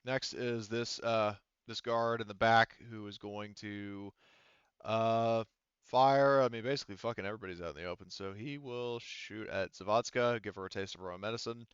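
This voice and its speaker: A man's voice: fairly low pitch, fairly even intonation, normal speed, clear, resonant tone, dry recording. A man speaking casually and profanely as game master of a tabletop role-playing game, in slightly muffled audio.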